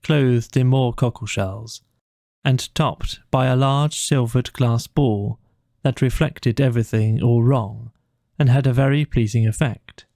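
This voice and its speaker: A man reads a bedtime story at an unhurried pace. His voice is mellow and soft-edged with a gravelly creak, captured very dry. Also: mid-range voice, steady tone, no echo. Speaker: audiobook narrator. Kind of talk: scripted bedtime story narration.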